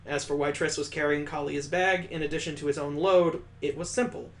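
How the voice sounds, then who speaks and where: A man's voice: a little high, fairly even intonation, fairly quick, slight background noise. A man hosting a gaming video, narrating a visual novel with improvised asides as he reads aloud.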